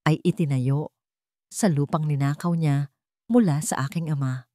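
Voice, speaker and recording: A woman's voice: low-pitched, moderate pace, dry recording, studio quality, natural voice. A woman voicing a coldly determined, vengeful witness in a story, speaking firmly and steadily. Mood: bitter, resolute. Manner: firm, steady.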